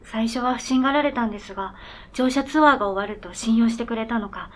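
A woman's voice: fairly high pitch, fast talker, close to an everyday voice. A woman playing an earnest, polite talking snowmobile in a radio drama, speaking formally and matter-of-factly.

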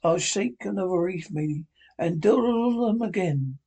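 A man voicing a dying, rum-craving old sea captain in a feeble, husky voice, pleading and cursing. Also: high voice, expressive, warm tone, full character voice. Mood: agitated. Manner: pleading, wheedling, cursing, slow and drawn out. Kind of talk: audiobook character voice.

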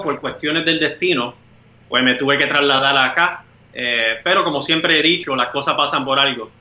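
A man's voice: mid-range voice, sharp tone. A man with a Puerto Rican accent answering as a guest over a video call, earnest, with "eh" fillers between sentences. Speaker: guest, lawyer. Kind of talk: interview answers. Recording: roomy, dull video call audio.

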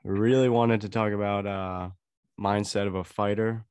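A young man hosting a podcast, sounding congested from a cold, chatty and relaxed, laughing often. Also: on the low side, moderate pace, clear, resonant tone, dry recording. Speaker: podcast host. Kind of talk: casual podcast chat.

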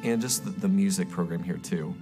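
A woman's voice: extremely low pitch, fairly quick. A young woman, a college student, giving a casual testimonial. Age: young adult. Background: music with guitar.

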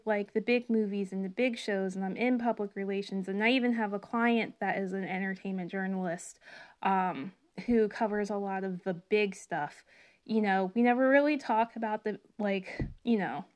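A young woman hosting her own podcast in a chatty, rambling monologue full of fillers, her voice slightly raspy as she gets over a cold. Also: medium pitch. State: recovering from a cold, slight cough. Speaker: podcast host. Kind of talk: casual solo podcast monologue.

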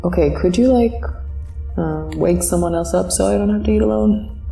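A woman's voice: fairly low pitch, normal speed, creaky. A woman playing an underwhelmed but polite space-liner passenger in a sci-fi audio drama, speaking in a hesitant, dry, understated way. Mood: puzzled. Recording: roomy, distant mic, music behind.

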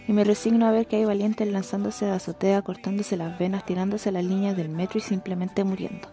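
Mellow, resigned Chilean teenage girl acted by a woman. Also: mid-range voice, natural voice. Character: morbid, resigned teenage girl running an online forum. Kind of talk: radio play monologue.

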